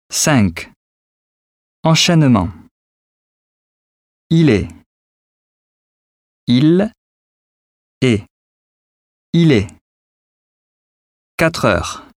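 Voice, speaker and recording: A man narrating a language course, reading words and headings very slowly with deliberate articulation. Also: mid-range voice, dry recording, studio quality. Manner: very slow, deliberate, exaggerated articulation. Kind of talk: scripted pronunciation lesson reading.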